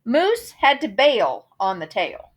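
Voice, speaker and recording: A woman's voice: a little high, big pitch swings, slow, a little hiss or hum. A woman reading a children's picture book aloud in a theatrical manner, savoring the rhymes and wordplay with dramatic emphasis. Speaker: storybook reader.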